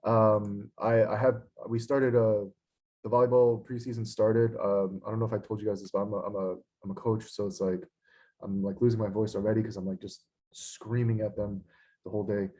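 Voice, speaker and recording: A hoarse young man teaching an online lecture, frustrated and scolding, with lots of fillers. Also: fairly low pitch, level tone, brisk, mellow. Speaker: instructor. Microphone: computer mic on a video call.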